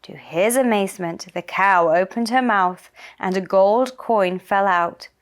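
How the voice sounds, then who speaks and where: A woman narrating a children's puppet-show story in simple, deliberate phrases. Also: mid-range voice, clean tone.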